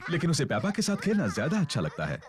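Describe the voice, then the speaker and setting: A man's voice: fairly high pitch, huge pitch swings, rapid-fire, background noise. A man narrating a children's cartoon story in simple, scripted storytelling sentences. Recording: music behind the narration.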